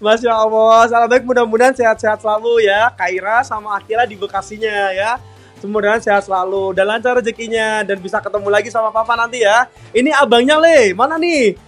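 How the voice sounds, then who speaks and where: A man's voice: high voice, fairly even intonation, fairly quick, soft background music. A man hosting a live-selling stream, chatting upbeat and reading viewer comments aloud in a bakery kitchen. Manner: chatty and upbeat, constantly addressing viewers warmly. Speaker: live-stream sales host.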